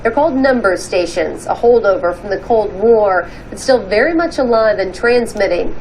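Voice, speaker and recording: A woman's voice: mid-range voice, lively intonation, normal speed, a little mellow, poor audio. A woman reads as a news anchor in a mid-range voice. It is a scripted broadcast introduction, with faint noise on the clip.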